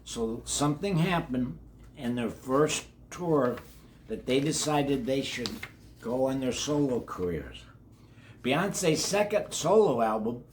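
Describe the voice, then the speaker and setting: Slow-talking older man reading to children. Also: mid-range voice, slight background noise. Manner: folksy, addresses children directly, repeats words. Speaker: children's read-along host. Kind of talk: reading a children's biography aloud with chatty asides.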